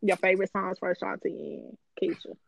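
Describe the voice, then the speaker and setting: A woman's voice: mid-range voice, monotone, brisk, creaky, no room sound, lo-fi sound. A woman co-hosting a podcast in casual chat, teasing and laughing a lot. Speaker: podcast co-host.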